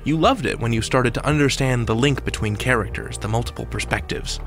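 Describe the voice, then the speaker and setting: A man's voice: mid-range voice, a little fast, faint music behind. An American man hosting a podcast countdown with playful, theatrical scripted narration. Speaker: podcast host.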